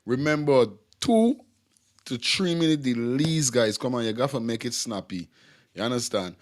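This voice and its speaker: A man's voice: medium pitch, expressive, moderate pace. A man hosting a call-in talk show in a casual, laid-back way, moving callers along.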